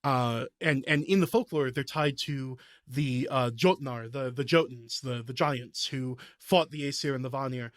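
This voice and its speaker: A man's voice: medium pitch, a little slow, hardly any pauses, fairly bright tone, no room sound, studio quality. A man speaking as a podcast guest and game master, explaining fantasy lore casually in an enthusiastic, rambling way.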